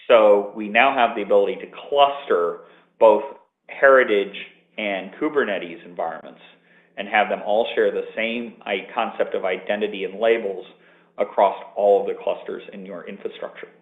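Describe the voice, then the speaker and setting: A man presenting a technical webinar from an office, explaining at length with fillers and restarts. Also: fairly low pitch. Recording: tinny phone-line audio with room echo.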